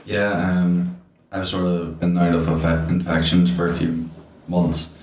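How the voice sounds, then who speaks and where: A man's voice: deep voice, little pitch movement, unhurried, darkish tone, distant mic. A man, a podcast guest telling his story in a plain, matter-of-fact way. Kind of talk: casual chat. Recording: echoey room, off-mic, faint noise.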